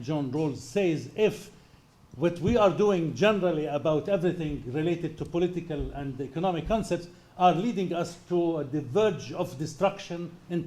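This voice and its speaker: Man, guest lecturer, emphatic argumentative answer, slightly high pitch, mellow warm tone.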